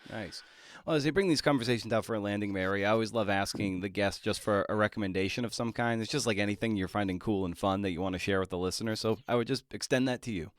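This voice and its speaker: Guy hosting a podcast interview, slightly low voice, kinda halting and casual, dry close sound. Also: no echo. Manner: casual, halting, rephrases and piles up adjectives.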